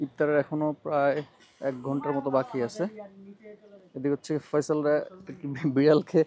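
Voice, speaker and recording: Higher-pitched Bangladeshi man narrating a vlog. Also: level tone, brisk, darkish tone. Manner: informal, mixes English words into talk. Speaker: vlogger.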